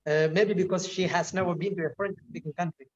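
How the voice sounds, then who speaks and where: A man's voice: high-pitched, steady tone, a little fast, muffled recording. A man, chatting casually and hesitantly with lots of fillers and restarts. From Kerala, India. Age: 20s. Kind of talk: casual chat.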